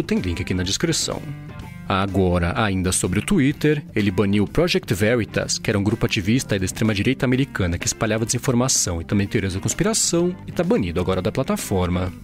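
A Brazilian man hosting a daily tech news podcast, chatty and informal, peppering his reading with little tag words. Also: fairly low pitch. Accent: Brazilian Portuguese. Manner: casual, fillers like "né" and "aí".

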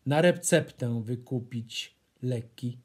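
A man voicing a wise owl doctor in a children's storybook, giving instructions in a measured manner. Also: mid-range voice, breathy edge, pitched below the performer's own voice. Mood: instructive.

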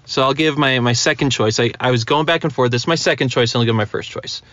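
A man hosts a podcast in a casual, conversational way. His voice sits at a middle pitch. The recording is a little dull and lo-fi. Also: fast talker, a bit bright. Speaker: podcast host. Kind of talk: casual chat. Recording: slightly dull, lo-fi sound.

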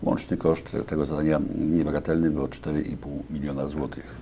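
Very deep-voiced man, halting answers. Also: little pitch movement, mellow, a little hiss or hum. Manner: halting, many fillers and restarts. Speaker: deputy county official. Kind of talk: studio interview answers. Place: local TV studio. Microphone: lapel mic.

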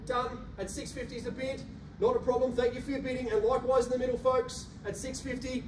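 A man in his thirties with an Australian accent, an auctioneer calling bids in a rhythmic, rapid-fire patter and coaxing buyers in a carpeted function room. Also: very high voice, flat delivery. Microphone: handheld mic, filmed by a far camera. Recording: roomy echo from a distant camera, faint hum.

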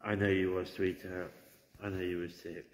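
An English man on a ghost hunt, chatting gently and reassuringly to a spirit in an old church, filmed on a handheld phone. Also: low-pitched, little pitch movement, normal speed, slight background noise. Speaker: ghost hunter. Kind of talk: casual talk to spirits. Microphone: handheld phone camera. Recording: echoey church with long reverb.

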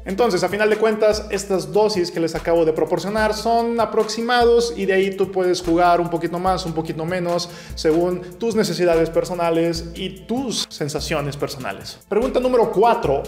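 Young male nutrition educator, high voice, methodical delivery, roomy home room. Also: darkish tone. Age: young adult. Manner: clear, methodical, answers questions one by one. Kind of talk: explainer video to camera. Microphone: camera facing him at close range.

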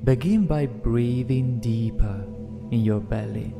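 A man narrating a guided meditation in a gentle, soothing, deliberate way, leaving long pauses between phrases. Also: mid-range voice, vocal fry. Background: meditation music with singing bowls.

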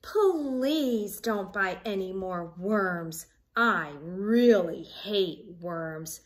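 A woman voicing a fussy, whiny young rabbit child from a storybook, with emphatic, drawn-out complaining. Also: mid-range voice, lively intonation, voice pushed down. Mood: disgusted pleading.

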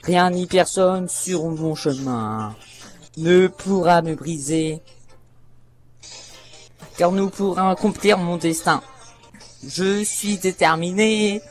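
A man slowly works through a cartoon theme, half singing and half reciting. His delivery is halting and self-conscious, with music behind him. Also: high-pitched.

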